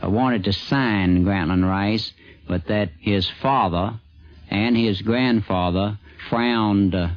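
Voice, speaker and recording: A man giving halting, thoughtful recollections in an interview. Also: fairly low pitch, dry recording. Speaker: newspaper sports editor. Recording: dull 1950s radio tape.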